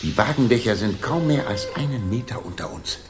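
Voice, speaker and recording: An actor playing a confident, methodical Victorian detective in a 1960s German radio play, reasoning in a deliberate, commanding way. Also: male voice, on the low side, expressive, natural voice. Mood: focused, triumphant. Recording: noisy 1960s radio drama recording.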